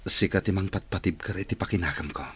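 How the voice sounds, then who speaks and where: A man playing an earnest, determined patient working through therapy in a radio drama, speaking in a measured way. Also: deep voice, fairly bright tone, no echo, exaggerated voice. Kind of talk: Ilocano radio drama acting. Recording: clearly noisy track with clicks.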